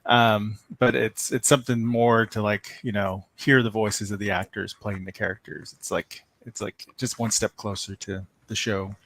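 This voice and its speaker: A man's voice: fairly low pitch, flat delivery, normal speed, few pauses, fairly bright tone. A man chatting casually as a fan panelist, offering informal opinions and qualifying them as he goes.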